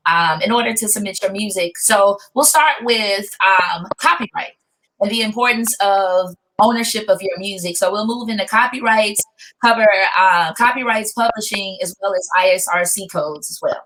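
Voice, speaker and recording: A woman's voice: medium pitch, moderate pace, a bit bright. Woman moderating over noisy audio. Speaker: workshop moderator. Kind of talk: informal workshop hosting. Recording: clear background noise with clicks.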